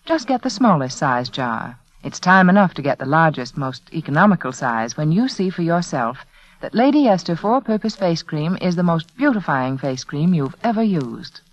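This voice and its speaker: A woman speaks as a 1940s radio hostess with a lowish, very expressive voice. The delivery is polished and persuasive, heard through a muffled vintage recording. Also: moderate pace. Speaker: radio show hostess and sponsor spokeswoman. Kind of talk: 1940s scripted radio commercial narration.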